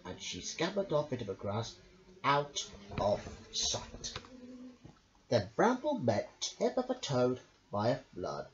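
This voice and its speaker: A man reads a children's story as its host. He draws his words out theatrically at a slow pace, his pitch swinging widely. The recording sounds dull and lo-fi. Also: huge pitch swings, a little hiss or hum. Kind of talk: children's picture-book narration.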